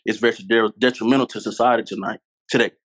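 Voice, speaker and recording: A man's voice: fairly low pitch, steady tone, fast talker, fairly bright tone. A man, a podcast guest talking candidly and earnestly, repeating his points for emphasis. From Louisiana, US. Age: 20s. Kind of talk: candid conversational storytelling.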